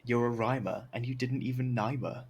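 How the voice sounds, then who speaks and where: A man's voice: mid-range voice, steady tone, moderate pace, darkish tone, a little hiss or hum. A young man casually commentating on a game stream in a slangy, rambling, jokey way. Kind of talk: casual live game stream commentary, reading aloud and reacting. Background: game music.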